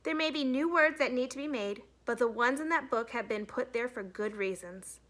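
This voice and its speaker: Woman as a stern schoolteacher, bright and emphatic. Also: a little high, moderate pace, close to an everyday voice. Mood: lecturing. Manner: emphatic, lecturing. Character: stern, brisk woman schoolteacher, firm and authoritative.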